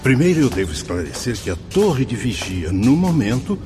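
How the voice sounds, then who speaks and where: An actor playing a genial priest who directs a spirit-world watchtower in a radio drama, courteous and explanatory, with a Brazilian accent. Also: male voice, medium pitch, very animated, normal speed, natural voice. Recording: music behind the voice.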